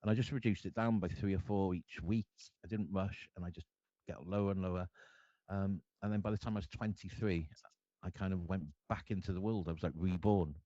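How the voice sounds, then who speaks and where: A man from Cardiff with a Welsh accent, telling his life story to an interviewer in an earnest, halting way, often restarting his sentences. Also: sits low, steady tone, mellow, dry recording. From Wales. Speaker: guest artist. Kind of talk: candid personal storytelling. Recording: dull lo-fi dry sound.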